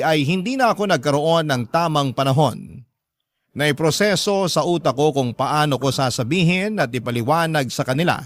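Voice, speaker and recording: An earnest young man's narration voiced by a male actor for a radio drama. The voice is fairly high and very dry, confiding a personal story in scripted first person. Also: a bit bright, no room sound. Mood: regretful. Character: earnest young man confiding his own sad life story.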